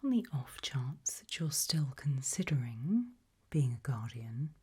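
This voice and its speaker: A British woman performing an ASMR roleplay in a breathy, gentle whisper with deliberate delivery. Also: very deep voice, big pitch swings.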